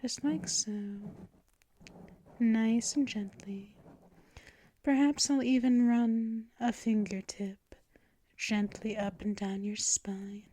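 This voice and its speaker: A woman voicing a possessive female vampire mistress in an ASMR roleplay, talking in soft, teasing whispers up close to the mic. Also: fairly high pitch, fairly even intonation. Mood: soothing. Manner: slow, drawn-out delivery.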